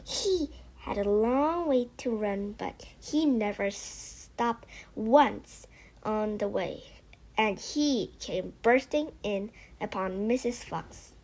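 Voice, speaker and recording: A woman reading a children's story aloud as an audiobook, with expressive narration. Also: medium pitch, takes it slowly. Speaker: audiobook reader.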